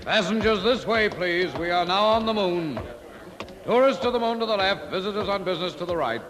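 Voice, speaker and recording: An actor playing an officious, coldly menacing moon port official in a 1950s radio drama. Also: male voice, sits high, brisk, a crowd in the background, close to an everyday voice. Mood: brisk announcing. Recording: old radio recording, long hall reverb.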